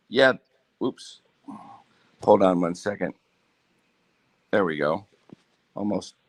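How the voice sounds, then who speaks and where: A hoarse man in a casual sports talk chat, speaking haltingly with many fillers. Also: sits low. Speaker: show panelist.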